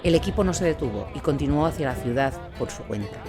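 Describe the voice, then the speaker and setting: A man's voice: on the high side, lively intonation, quick pace. A man delivers scripted dramatic narration of a war story. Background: instrumental music.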